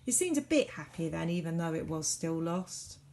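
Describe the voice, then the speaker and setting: Expressive woman storyteller with lively pitch. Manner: dramatic, varied emphasis with deliberate pauses. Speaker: teacher reading a storybook aloud. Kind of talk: expressive children's storybook reading.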